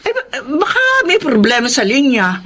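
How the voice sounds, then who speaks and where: Lively, flustered Filipino mother. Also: on the high side, moderate pace, slight background noise. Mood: flustered, evasive. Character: flustered, evasive mother covering for her son. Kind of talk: Tagalog radio drama acting.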